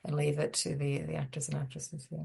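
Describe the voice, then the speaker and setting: A woman's voice: sits low, flat delivery, fairly quick, gravelly, darkish tone, husky. A woman speaking as a writer, reflecting casually on her own work. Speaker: writer, guest.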